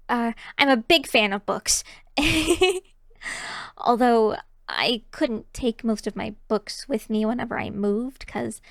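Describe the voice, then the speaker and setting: A woman's voice: a little high, a bit bright, dry recording, clean studio sound, exaggerated voice. A woman voicing a shy, cheery young kitsune barista in an ASMR roleplay, chatting to the listener in a bashful, hesitant, giggly way.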